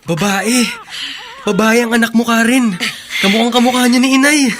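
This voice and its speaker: An actor playing a devoted, upbeat young elder brother in a radio drama, earnest and encouraging. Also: male voice, very high-pitched, normal speed, a bit bright, soft background music. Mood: joyful. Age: young adult.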